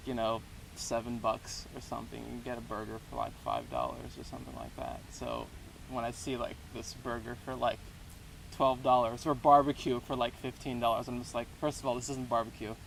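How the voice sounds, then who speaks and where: A man in his twenties from Texas, answering interview questions in a relaxed, rambling way with lots of hedges. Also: mid-range voice, gravelly. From Houston, Texas. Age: 20s. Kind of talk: casual unscripted interview. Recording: noisy background.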